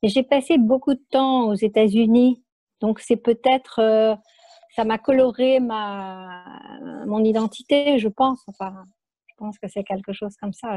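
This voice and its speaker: Older parisian lady, gentle hesitant talk, warm dark tone, dry mic. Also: medium pitch, no room sound. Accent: Parisian French. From France, Paris. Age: 60s. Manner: soft, hesitant, many fillers and repeats. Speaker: guest author. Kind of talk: remote interview, spiritual talk.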